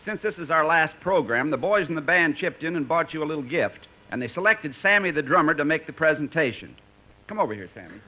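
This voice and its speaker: A muffled 1950s radio comedy recording features an American bandleader with a bright, forward voice. He is cheerful and dry-witted, tossing off jokes as plain fact. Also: fairly high pitch, animated, brisk. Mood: matter-of-fact.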